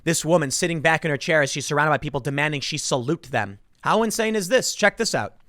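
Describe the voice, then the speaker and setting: A man's voice: on the high side, lively intonation, fast, a bit bright, no room sound, studio quality. A man giving impassioned solo political commentary as a host, emphatic and indignant, repeating phrases for stress.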